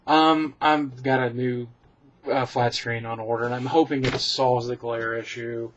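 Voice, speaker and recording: A man in a room at home talks straight to his webcam, rambling through viewer questions with candid, self-deprecating humor. His voice sits at an ordinary middle pitch and comes through slightly dull and lo-fi. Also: fairly even intonation, unhurried, a little hiss or hum. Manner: chatty, candid and self-deprecating, with many ums and restarts. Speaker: vlogger answering viewer questions. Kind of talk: casual rambling Q and A.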